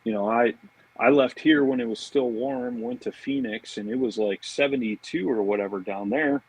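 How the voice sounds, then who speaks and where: A man's voice: medium pitch, big pitch swings, moderate pace, creaky, a little mellow, husky, no echo, poor audio. A man chatting casually and telling informal stories as a podcast guest. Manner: informal, anecdotal, peppered with "man" and "you know". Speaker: podcast guest, fishing marketing director. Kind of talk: casual chat.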